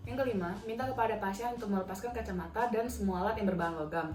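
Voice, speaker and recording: A woman's voice: medium pitch, rapid-fire, darkish tone, reverberant, soft background music. A young woman, a dental student, demonstrating a radiography procedure and methodically working through numbered steps. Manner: polite, addresses patient in role-play. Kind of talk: instructional skills demonstration.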